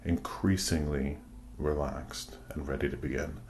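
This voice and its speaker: A man leading a guided meditation, giving soothing instructions in measured, deliberate phrases with pauses. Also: rumbling low, steady tone, a little mellow, a little hiss or hum.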